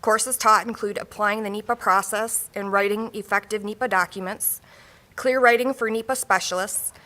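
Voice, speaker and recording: A woman acting as conference emcee, reading a speaker's biography in a steady, formal way in a large room. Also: mid-range voice, moderate pace.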